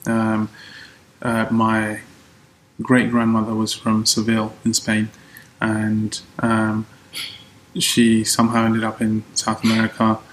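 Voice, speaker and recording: A man who grew up after a Colombian childhood talks through his ideas in a low, very even voice. He explains at length, often restarting and letting phrases fall quiet. A faint hiss of background noise sits under the conversation. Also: takes it slowly, pauses often, rough recording. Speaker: podcast guest. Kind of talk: casual interview talk.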